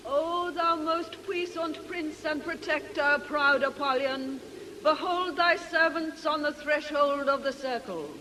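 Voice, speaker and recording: In heavy reverb over clear noise, an imperious witch priestess intones a demonic summoning. She is slow, solemn and commanding, in radio drama style. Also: high voice, bright, forceful tone, full character voice. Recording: long reverb, noisy outdoor ambience.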